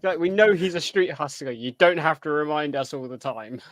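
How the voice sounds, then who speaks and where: A man with a somewhat high-pitched voice co-hosts a podcast. He speaks in the loose, informal way of a casual panel chat about pop culture. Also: moderate pace, fairly bright tone. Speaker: podcast co-host.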